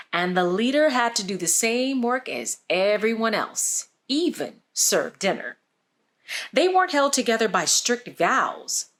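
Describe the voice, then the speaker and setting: A woman reading a storybook aloud for children, with expressive, deliberate phrasing. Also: on the high side. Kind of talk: scripted storybook narration for children.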